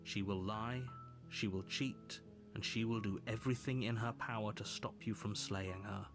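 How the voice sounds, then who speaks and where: An actor voicing a stern narrating voice in a game, measured and commanding. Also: male voice, lowish voice, steady tone, over music, close to an everyday voice. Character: stern male narrator voice guiding the hero.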